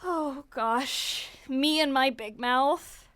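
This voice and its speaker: An actress playing a caring, sharp-witted teenage girl in a nostalgic audio drama, earnest and expressive. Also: high voice, extremely slow, no echo, full character voice. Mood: embarrassed.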